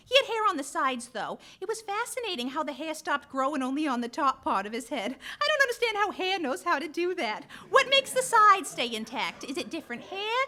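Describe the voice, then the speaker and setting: Very high, bright, chatty sidekick. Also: female voice, exaggerated voice. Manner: rambling, earnest, wide-eyed. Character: chatty, naive, eager young female sidekick. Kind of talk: 1940s radio play acting. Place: theatre stage before a studio audience.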